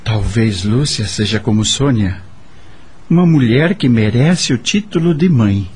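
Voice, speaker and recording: An actor playing a brooding, regretful husband in a radio soap opera, speaking with a Brazilian accent. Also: male voice, mid-range voice, expressive, unhurried, darkish tone, husky. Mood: brooding, remorseful.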